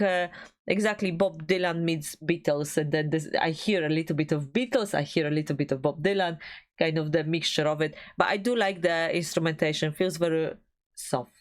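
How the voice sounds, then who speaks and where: Low-pitched woman chatting about music. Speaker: co-host of a music reaction channel. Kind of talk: casual chat, music reaction commentary.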